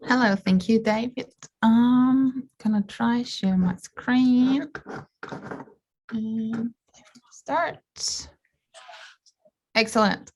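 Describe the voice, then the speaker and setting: A woman from Austria with an Austrian German accent, giving a halting online conference talk as a media artist. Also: a little high, a little mellow, poor audio. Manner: halting, searching for words as she goes. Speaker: media artist presenting on a panel. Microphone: video call.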